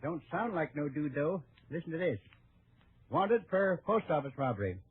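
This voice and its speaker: An actor playing a stubborn, chatty Old West postmaster, husky, with an American accent and folksy banter, in radio Western style. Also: male voice, fairly high pitch, sing-song, moderate pace, exaggerated voice. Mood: folksy, unworried. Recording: tinny vintage radio recording.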